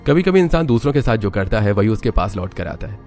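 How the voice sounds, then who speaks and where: A man's voice: on the low side, very animated, fast, a little mellow, soft background music. A man reading scripted, dramatic narration for a family story.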